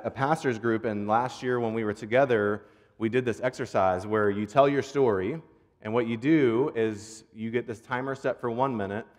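A man preaching as a pastor in an informal, earnest, conversational way, repeating phrases for rhythm as he tells stories. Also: mid-range voice. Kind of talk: conversational sermon with prayer and storytelling. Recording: long hall reverb.

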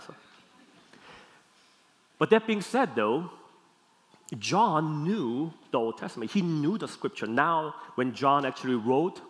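A man, a native Korean speaker with a Korean accent, delivers a teaching sermon as a pastor, phrasing deliberately, repeating key words and checking in with questions. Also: mid-range voice, a bit bright. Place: large hall, speaking to a congregation. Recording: long hall reverb.

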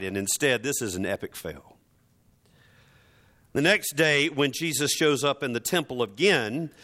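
Bright, higher-pitched man preaching a sermon. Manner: conversational, wry, rhetorical questions.

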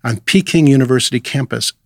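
A middle-aged American man talks in an earnest, conversational manner as an interviewed pastor. His mid-pitched voice has a gravelly creak, and the sound is dry, with little room around it. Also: brisk, no room sound. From Illinois, United States. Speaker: missions and outreach pastor, interview guest. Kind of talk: conversational personal storytelling.

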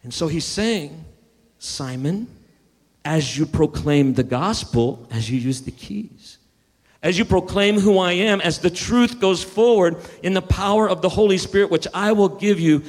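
A man's voice: sits high, a little slow, long reverb tail. A man preaching a sermon, building emphasis and sometimes restarting his words. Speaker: pastor.

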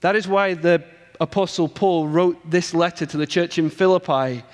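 A man's voice: on the high side, steady tone, moderate pace, long reverb tail. A man with an English accent, a guest preacher delivering an earnest sermon with emphatic build-ups. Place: church sanctuary.

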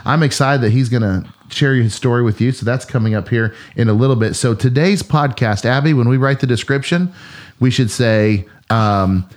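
Pastor guy hosting a podcast, mid-pitch voice, joking around and rambling. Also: moderate pace. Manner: playful, rambling, laughs often. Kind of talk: casual podcast chat.

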